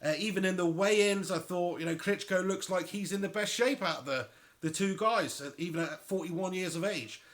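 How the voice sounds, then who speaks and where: A man giving chatty, excitable live commentary on a boxing match and talking straight to his viewers. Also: high-pitched, normal speed. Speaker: livestream fight commentator.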